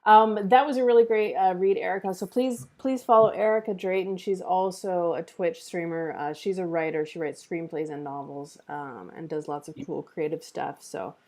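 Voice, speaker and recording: Casual woman host, lowish voice. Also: fairly even intonation, normal speed, hardly any pauses, darkish tone. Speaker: table read host. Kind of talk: casual discussion on a livestream.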